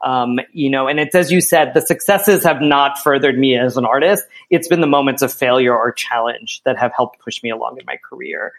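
An American man speaking thoughtfully as an interview guest, often checking in with a quick "right?". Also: medium pitch, creaky. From small town in Pennsylvania, United States. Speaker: interview guest, theatre artistic director. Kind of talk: conversational interview.

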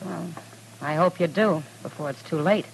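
A woman's voice: sits low, moderate pace. An American actress playing a wry, plainspoken frontier woman in an old radio western, casual and folksy. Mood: concerned. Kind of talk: 1950s radio western acting. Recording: noisy old radio recording.

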